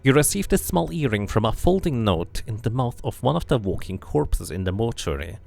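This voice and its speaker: A man's voice: medium pitch, sing-song, normal speed, gravelly, a little mellow, faint music behind. A man giving casual game commentary, thinking aloud as he plays and reading bits of game text out. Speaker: streamer playing and narrating a game.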